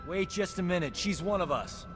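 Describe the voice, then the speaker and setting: An actor playing a cocky, flirtatious rogue doctor in a video game, with a breezy, self-assured manner. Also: male voice, sits high, moderate pace, lightly acted. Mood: urgent, reassuring. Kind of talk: video game cutscene acting. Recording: game music behind the voice.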